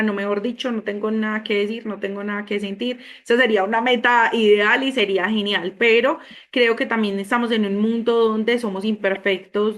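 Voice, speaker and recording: A woman who works for the agency and interviews a participant. She is encouraging and expressive. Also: medium pitch.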